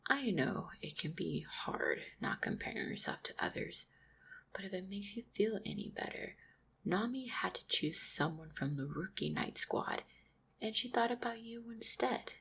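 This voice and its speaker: A woman voicing an affectionate, supportive orc fiancée in an intimate roleplay audio, speaking tenderly and reassuringly with gentle teasing, often close to a whisper. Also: medium pitch, expressive, moderate pace, exaggerated voice. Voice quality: breathy, husky, often near-whispered.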